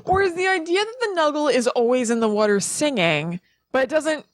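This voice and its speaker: Lively higher-voiced woman, playful podcaster. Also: fairly quick, dry recording. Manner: playful, quippy. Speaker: podcast co-host. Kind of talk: casual reactive chat.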